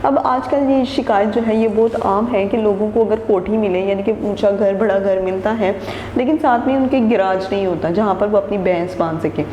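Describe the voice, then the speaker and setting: Woman teacher, mellow voice, reads then re-explains each sentence, roomy echo, long reverb. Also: mid-range voice, normal speed. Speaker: Urdu teacher. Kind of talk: reading a textbook passage and explaining it line by line. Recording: echoey room with long reverb and faint noise.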